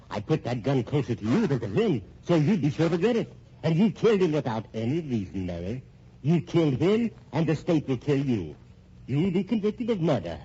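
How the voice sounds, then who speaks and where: A man's voice: mid-range voice, dark tone, natural voice. A man playing a vengeful, gloating schemer in an old-time radio thriller, theatrical, menacing and taunting. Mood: smug, vindictive. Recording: dull, noisy old radio recording.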